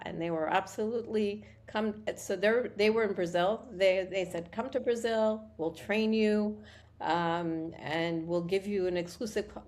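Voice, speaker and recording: A woman's voice: medium pitch, a little mellow. A woman from Morocco, a guest founder of a hair product company, telling her story in a chatty interview and restarting sentences often. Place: Montreal.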